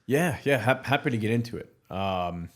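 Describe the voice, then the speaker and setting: A man's voice: on the low side, lively intonation, moderate pace. A man in his thirties, born in Morocco, talking candidly and bluntly as a podcast guest, with frequent restarts and fillers. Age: 30s. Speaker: guest entrepreneur. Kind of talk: casual podcast interview.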